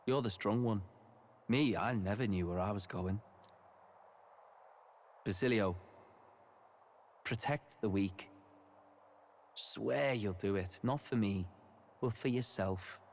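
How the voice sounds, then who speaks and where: A gentle, wry older brother from a game. He speaks slowly and tenderly in a fairly low voice, teasing a little. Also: slight background noise. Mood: tender resolve. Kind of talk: video game voice acting.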